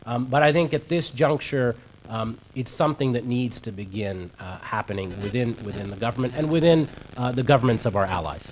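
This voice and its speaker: A man wrapping up remarks on a panel, speaking in a measured way with hesitations. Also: medium pitch, a little mellow, slight background noise, low-quality recording.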